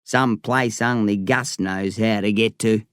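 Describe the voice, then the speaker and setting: A man voicing a wry, plain-spoken old man in an audiobook, with a slow folksy drawl. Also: mid-range voice, steady tone, smooth, dry recording, studio quality, lightly acted. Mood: wry, steady. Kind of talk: audiobook character voice.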